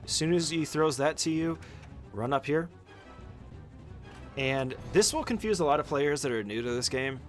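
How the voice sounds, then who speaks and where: A man's voice: on the high side, moderate pace, long pauses, soft background music. A man giving an informal, casual walkthrough commentary as a speedrunner teaching a game route, swearing now and then.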